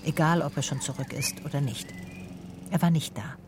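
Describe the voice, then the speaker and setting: Casting: female private eye woman performer, radio drama, low register, dry, clipped, matter-of-fact delivery. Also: steady tone, moderate pace, background noise, natural voice. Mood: matter-of-fact, brooding. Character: female private detective narrating her own case, dry, brisk. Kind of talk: radio drama first-person narration and dialogue.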